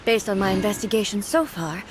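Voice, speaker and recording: An actress playing a solemn, regal goddess mourning her sister in a video game, speaking in a soft, hushed, measured way. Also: medium pitch, loud music behind the voice, lightly acted. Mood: sorrowful.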